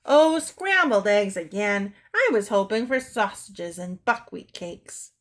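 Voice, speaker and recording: A woman's voice: mid-range voice, expressive, slow pace. A woman voicing an easygoing, playful father in a storybook. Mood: mild. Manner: mild, playful. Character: mild, easygoing father, jovial.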